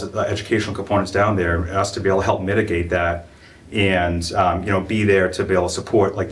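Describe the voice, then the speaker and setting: A police chief updating a town board in a meeting room, talking loosely with many fillers. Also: male voice, deep voice. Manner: casual, filler-heavy, loose and earnest. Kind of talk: public meeting presentation. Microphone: room microphone from a distance. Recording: distant room mic, faint noise.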